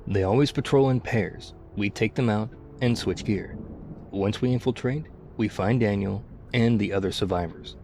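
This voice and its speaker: A man playing a battle-hardened, weary zombie apocalypse survivor in an intimate ASMR roleplay, guarded but quietly caring, speaking in a hushed, measured way. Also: lowish voice, close to an everyday voice. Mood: terse, focused. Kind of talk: ASMR audio roleplay, intimate one-sided dialogue.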